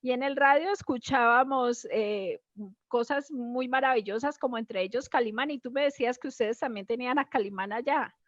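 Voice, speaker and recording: Higher-pitched Colombian woman, slightly dull sound. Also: normal speed, no echo. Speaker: interviewer, host. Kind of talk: casual reminiscing conversation.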